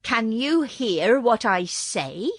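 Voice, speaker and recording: A woman's voice: on the high side, sing-song, takes it slowly, no echo, studio quality, exaggerated voice. A woman voicing a direct, practical young woman cook in a storybook reading.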